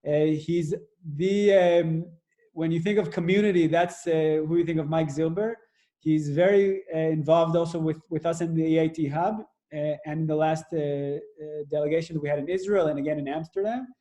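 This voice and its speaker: Moderator: man, highish warm voice, halting delivery, video call. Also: fairly even intonation. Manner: halting, many fillers while introducing. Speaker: webinar moderator. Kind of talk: moderating an online webinar.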